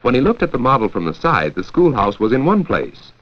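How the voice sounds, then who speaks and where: An American man narrating a 1940s educational film from a script, with a clear, measured storytelling delivery. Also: medium pitch, big pitch swings. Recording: dull, lo-fi 1940s 16mm film soundtrack.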